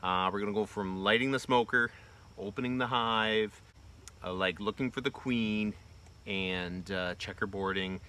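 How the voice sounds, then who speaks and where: A man's voice: lowish voice, a little slow, pushed and bright. A man in his forties giving a casual, plainspoken how-to demonstration outdoors, filmed on a phone held close, with lots of loose fillers. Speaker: homesteading vlogger. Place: outdoors in a wooded bee yard. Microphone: phone camera held close. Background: crickets and insects.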